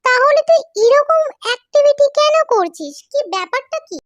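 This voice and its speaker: A woman's voice: very high voice, lively intonation, normal speed, lo-fi sound. An actress playing a young woman doctor in a cartoon horror story, worried, irritable and dramatic. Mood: alarmed, questioning. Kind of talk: acted Bengali cartoon horror story dialogue.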